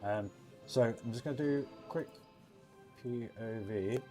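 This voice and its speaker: A man's voice: mid-range voice, measured pace, dark tone, faint music behind. A man hosting a casual game livestream, chatting directly to his viewers as he gives commentary. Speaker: livestream host.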